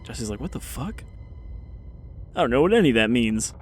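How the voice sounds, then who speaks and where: A young man commentating on a game as a let's play host, rambling casually and reading in-game documents out loud. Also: medium pitch, sing-song, moderate pace, breathy edge, soft background music. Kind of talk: gameplay commentary, reading in-game files aloud.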